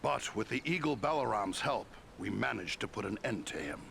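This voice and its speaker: A man playing a plain-spoken, determined adventurer with a gravelly voice in a fantasy game. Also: lowish voice, expressive, moderate pace, a bit bright, a little hiss or hum, exaggerated voice. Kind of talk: dramatic fantasy game acting.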